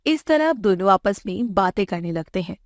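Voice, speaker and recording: A woman's voice: medium pitch, lively intonation, moderate pace, no echo, studio quality. A woman reading scripted narration for a moral bedtime story.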